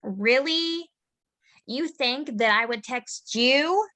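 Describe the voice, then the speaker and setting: A woman's voice: sits high, animated, takes it slowly, sharp tone, no room sound, full character voice. A woman reading the part of a dismissive popular teenage girl at a table read. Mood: cold. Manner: cold and dismissive. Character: snide teenage girl, mean popular type. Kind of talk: table read of a teen drama.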